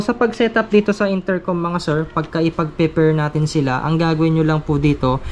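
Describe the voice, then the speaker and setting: A man's voice: fairly high pitch, slight background noise. A man giving a casual, polite step-by-step product tutorial as a gear reviewer. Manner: polite, addressing viewers respectfully.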